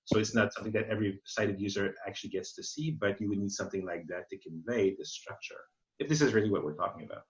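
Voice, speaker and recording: A man's voice: fairly low pitch, quick pace. A man explaining as an expert in an informal webinar Q&A, thinking aloud and rephrasing as he goes. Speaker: accessibility expert presenting a webinar. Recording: muffled, band-limited webinar audio.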